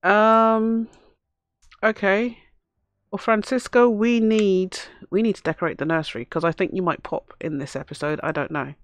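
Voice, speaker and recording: Hoarse woman gamer, casual running commentary, chats to her game characters, dry close sound. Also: mid-range voice, fairly even intonation, normal speed, no room sound. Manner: chatty, talks to the game characters. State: hoarse from a cough. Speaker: let's play host.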